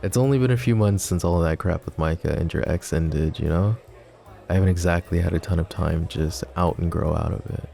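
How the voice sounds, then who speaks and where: A brooding mafia boss, lovesick and wry, played by a man in an ASMR roleplay. His very deep voice carries a gravelly edge and a dark, mellow tone. He talks close and soft, slipping into whispers, with faint music behind him. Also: brisk. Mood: flustered, teasing. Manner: soft, intimate, drops to whispers; wry, self-mocking humor. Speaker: voice actor.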